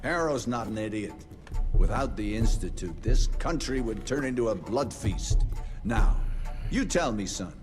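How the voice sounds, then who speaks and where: An older, zealous patriarch of monster hunters in a video game. He speaks slowly and formally, with expressive swings of pitch and a commanding gravity, over music. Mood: stern, insistent. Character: ailing, zealous elder patriarch of vampire hunters. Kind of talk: video game cutscene acting.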